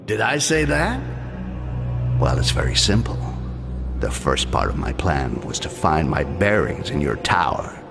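An actor playing a cynical goblin thief in a video game, with a gravelly voice and a dry, sardonic manner. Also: male voice, very deep voice, very animated, unhurried, over music, exaggerated voice. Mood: mocking, smug.

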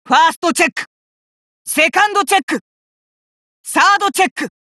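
An actor voicing a cocky, hot-blooded young male fighter in an anime card game, rough-talking and arrogant, with emphatic battle cries. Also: very high voice, a little fast, bright, forceful tone, no echo, clean studio sound. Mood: fierce, triumphant.

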